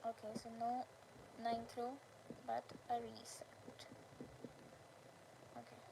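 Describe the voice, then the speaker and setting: A woman hosting a casual craft livestream, chatting with viewers in short, halting phrases with pauses. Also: fairly high pitch, flat delivery. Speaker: live stream host, crocheter. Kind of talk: casual livestream chat with viewers, showing handmade work. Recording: clearly noisy background ambience.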